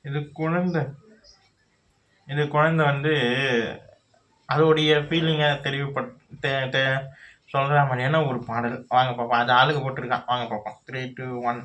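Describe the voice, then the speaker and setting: A man's voice: medium pitch, level tone, moderate pace, pauses often, fairly bright tone, rough recording. A man hosting a casual reaction video, commenting as he introduces clips.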